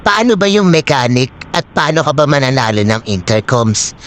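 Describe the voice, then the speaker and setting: A man's voice: medium pitch, very animated, normal speed, fairly bright tone, a little hiss or hum. A Filipino man speaking in an upbeat promotional vlog style, addressing his followers with peppy hype. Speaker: vlogger promoting a giveaway.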